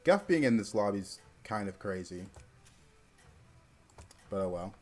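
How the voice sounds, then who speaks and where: Low-voiced young man thinking aloud. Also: measured pace, faint music behind. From Georgia, US. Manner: relaxed, thinking aloud with fillers. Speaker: game streamer. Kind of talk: casual livestream commentary.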